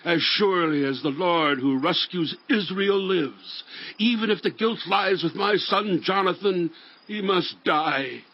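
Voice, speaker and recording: High-pitched, commanding ancient king. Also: muffled recording. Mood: commanding, loud. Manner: declamatory, imperious, drawn-out phrasing. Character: stern, commanding warrior king of ancient Israel. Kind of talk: dramatized audio Bible acting.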